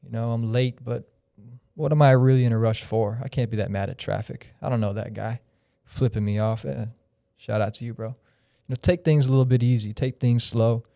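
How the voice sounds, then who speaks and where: Man in his thirties, podcast guest, smooth mellow voice, rambling and reflective. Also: medium pitch, dry recording, clean studio sound. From Florida. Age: 30s. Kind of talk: casual podcast chat.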